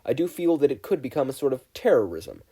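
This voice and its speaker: A man's voice: medium pitch, very animated, fast talker, warm tone, slightly rough, pitched above the performer's own voice. A man voicing an earnest, formal and dramatic teenage boy student in a story reading.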